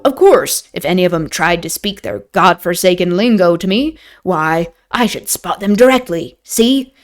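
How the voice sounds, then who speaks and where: A woman's voice: mid-range voice, close to an everyday voice. A woman voicing a jovial, opinionated English innkeeper from a novel, with a folksy, blustering manner. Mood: cocksure.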